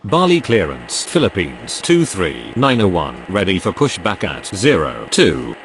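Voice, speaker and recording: A deep airline radio voice in a flight game, acted by a man, with very animated intonation. The wording is formal and clipped. A faint hum runs behind. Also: unhurried, full character voice. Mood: businesslike. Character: formal male airline pilot and air traffic controller. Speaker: pilot and air traffic controller voice in a game.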